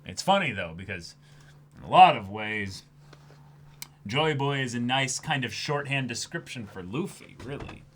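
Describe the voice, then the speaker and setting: A man doing a dramatic read-aloud mixed with casual reactions, theatrical and excitable as co-host of a stream. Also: medium pitch, normal speed.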